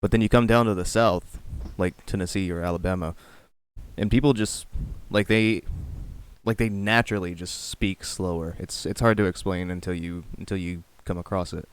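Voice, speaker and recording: A man's voice: low voice, steady tone, normal speed, clear, resonant tone. A man co-hosting a podcast, explaining things patiently in casual chat, often repeating his words. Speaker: podcast co-host.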